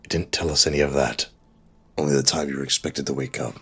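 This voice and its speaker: A man voicing a gruff, battle-weary, protective mentor in an audiobook, with a growling, blunt delivery and a gravelly rasp. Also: a bass voice, steady tone, fast talker, fairly bright tone, pitched below the performer's own voice, heavily acted. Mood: stern. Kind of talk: audiobook character dialogue.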